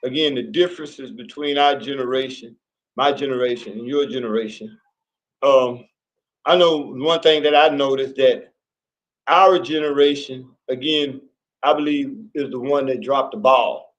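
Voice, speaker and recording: A man's voice: medium pitch, normal speed, low-quality recording. A middle-aged man hosting a casual talk with his son, chatty and teasing, often stumbling and repeating words. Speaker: host.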